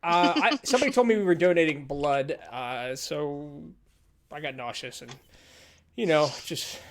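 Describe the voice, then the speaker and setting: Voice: medium pitch, animated, measured pace, slight background noise. Stammering, joking game master host. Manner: stammering, self-deprecating and joking. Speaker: dungeon master and show host. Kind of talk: casual stream banter introducing a tabletop game.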